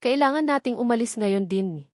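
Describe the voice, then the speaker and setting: A woman's voice: on the high side, animated, normal speed, no room sound, studio quality, close to an everyday voice. Woman voicing a frightened, pleading young woman on the run. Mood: panicked, pleading. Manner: urgent, pleading. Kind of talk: dramatic storytelling dialogue.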